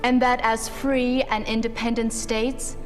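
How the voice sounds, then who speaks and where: A woman's voice: a little high, little pitch movement, a little slow. A woman giving a solemn, formal dramatic reading of a historical document in a declamatory manner. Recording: long reverb, music underneath. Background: music.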